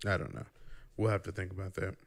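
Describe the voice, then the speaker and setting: Young guy hosting a podcast, bit low voice, teasing and laid back. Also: fast, creaky. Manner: teasing, relaxed, steers the topics. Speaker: podcast host. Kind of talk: casual chat.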